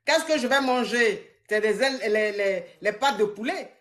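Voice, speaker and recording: A woman's voice: a little high, moderate pace. A woman, hosting a call-in advice stream and teasing callers with frequent laughter. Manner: mocking, laughs often, animated. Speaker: live-stream advice coach taking calls.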